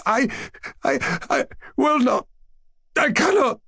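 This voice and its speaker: A man voicing a trembling, stubborn young boy in a storybook, halting and gasping, choked with tears. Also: very high-pitched, expressive, creaky, pushed and bright, no room sound, studio quality, pitched above the performer's own voice, full character voice. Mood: tearful defiance.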